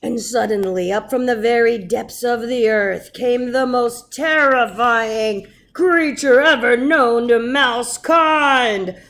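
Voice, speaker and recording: A woman reading a children's story aloud as narrator, dramatic and expressive. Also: fairly high pitch, slow pace. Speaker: storybook reader. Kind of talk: animated read-aloud narration.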